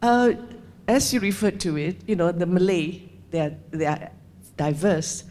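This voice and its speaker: A woman in the audience politely asking a halting question of the speaker. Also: on the low side, lively intonation, warm tone, a little hiss or hum.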